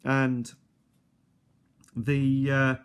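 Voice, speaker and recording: A middle-aged man with a mid-range voice talks casually and without a script, showing an item to viewers. He takes his time, speaking slowly and hesitantly, and wanders conversationally from point to point. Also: mid-range voice, flat delivery, smooth. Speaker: video host. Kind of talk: unscripted casual product show-and-tell. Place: indoors at a kitchen table. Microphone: handheld or nearby tablet's built-in mic.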